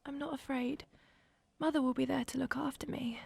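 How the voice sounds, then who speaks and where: Radio drama child: actress as frail, sweet young girl, very high, creaky little voice, timid delivery. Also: fairly even intonation, a little fast, darkish tone, dry recording, heavily acted. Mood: weak, fearful.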